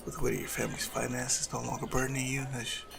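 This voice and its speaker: Man acting gravelly, suave lovestruck assassin. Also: medium pitch, little pitch movement, fast, over music. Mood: teasing, courting. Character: lovestruck male assassin, suave, cunning, tenderly courting a noble. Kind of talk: romantic audio roleplay acting addressed to the listener.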